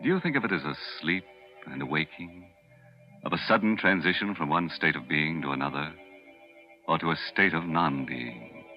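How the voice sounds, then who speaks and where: An actor playing a ghoulish, sardonic horror show host in 1940s radio style, tongue-in-cheek and theatrical. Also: male voice, a bass voice, lively intonation, moderate pace. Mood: ominous. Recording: muffled 1940s radio recording with organ music.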